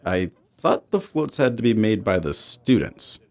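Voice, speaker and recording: Caring father, slightly deep, lively inflection, gently chiding, reassuring, scripted drama. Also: unhurried. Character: supportive, gently chiding father of teenage daughter. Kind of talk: scripted audio drama acting.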